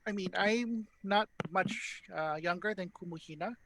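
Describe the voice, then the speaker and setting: A man's voice: high-pitched, unhurried, fairly bright tone, rough recording. A man from Hawaii, Hawaiian his first language, hosting a panel discussion in an earnest, thoughtful way with many fillers. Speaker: panel host.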